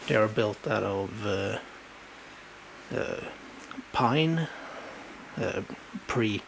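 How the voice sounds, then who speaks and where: A man with a Swedish accent, whose first language is Swedish, casually showing his bookshelves at home and talking in halting bursts with many fillers. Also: lowish voice, lively intonation, vocal fry, slight background noise, rough recording. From Sweden. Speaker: book collector showing his shelves. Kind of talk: casual home video walkthrough. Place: home room.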